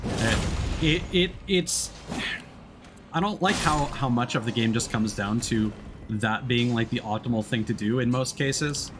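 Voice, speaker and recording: A man giving casual live commentary as he plays a video game, thinking aloud in a halting way. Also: medium pitch. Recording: game music behind the voice. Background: game music.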